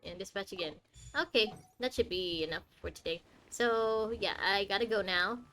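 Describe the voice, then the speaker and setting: A woman's voice: medium pitch, moderate pace, bright, forceful tone, faint music behind. A woman giving casual, chatty live commentary as she streams a game and reacts to it.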